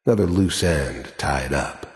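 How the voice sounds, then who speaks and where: An actor playing a hardened, cynical middle-aged mercenary in a video game, with an American accent, a gruff voice and a terse, sardonic, menacing delivery. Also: male voice, very deep voice, lively intonation, unhurried. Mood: cold, reflective. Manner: terse, matter-of-fact, sardonic. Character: hardened cynical male mercenary, cold, menacing, world-weary. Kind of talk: video game character monologue and dialogue. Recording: echoey hall-like reverb.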